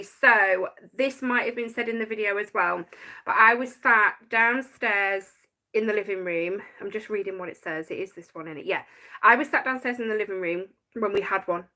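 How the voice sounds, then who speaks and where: A woman's voice: medium pitch, bright, forceful tone. A woman, chatting casually on a live stream about her notes and laughing often. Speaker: paranormal channel host.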